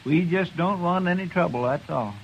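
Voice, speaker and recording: An actor playing a good-natured, deferential frontier deputy with a drawl in a 1950s radio drama. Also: male voice, sits high, normal speed, gravelly. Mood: placating. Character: folksy, good-natured young male deputy. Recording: dull, hissy old radio recording.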